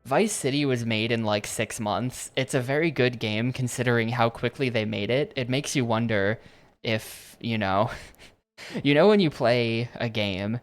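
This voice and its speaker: A man's voice: mid-range voice, a little slow, soft background music. A man streaming a video game, chatting casually and rambling between reading game text aloud. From United States. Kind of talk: casual gameplay commentary.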